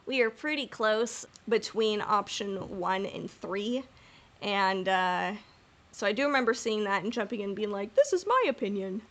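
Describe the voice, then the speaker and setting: A woman's voice: mid-range voice, expressive, normal speed. Playful, rambling woman podcast co-host. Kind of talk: casual fan discussion.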